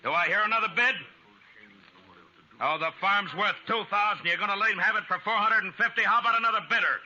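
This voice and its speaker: An actor playing a wry, resolute frontier marshal in a 1950s radio western, speaking deliberately and with dry sarcasm. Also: male voice, high voice, bright, forceful tone. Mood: firm, calling bids. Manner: deliberate, dryly sardonic. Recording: dull, hissy old radio recording.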